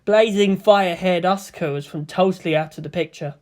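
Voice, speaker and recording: A woman's voice: fairly low pitch, a little fast, a little mellow. A woman narrating a scripted story in the manner of an audiobook reader. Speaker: fan fiction reader.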